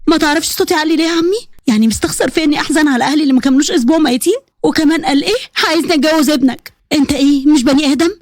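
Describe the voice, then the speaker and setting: A woman acting a defiant young bride, high-pitched and fast. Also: no room sound, studio quality, close to an everyday voice. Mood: indignant, tearful. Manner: sharp, indignant, mouthy delivery. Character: young orphaned bride, defiant, sarcastic, grieving.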